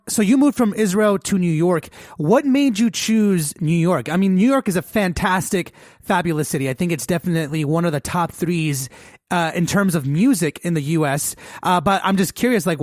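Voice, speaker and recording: A man's voice: high-pitched, moderate pace, dry recording, studio quality. A man hosting a podcast, interviewing casually in an effusive, informal way. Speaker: podcast host.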